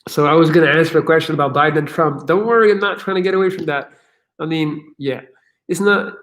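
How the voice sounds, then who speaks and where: A man hosting a casual livestream Q&A, answering viewer questions in an energetic, profane way with many fillers. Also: medium pitch.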